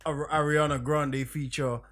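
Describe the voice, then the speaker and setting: A man's voice: on the high side, little pitch movement, unhurried, fairly bright tone. A man in casual podcast banter with a laid-back, wry way of talking. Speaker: podcast co-host.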